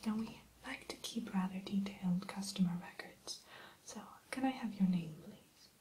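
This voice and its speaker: A woman playing a polite, primly formal evil queen shopkeeper in a soft, unhurried ASMR whisper. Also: mid-range voice, a little hiss or hum, close to an everyday voice. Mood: courteous, businesslike. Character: genteel evil queen running an enchanted mirror shop. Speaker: shopkeeper character in ASMR roleplay.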